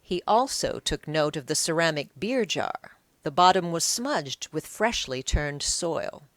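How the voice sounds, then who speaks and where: Lowish, expressive woman narrating an audiobook. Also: a little slow, no echo. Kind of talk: scripted story narration.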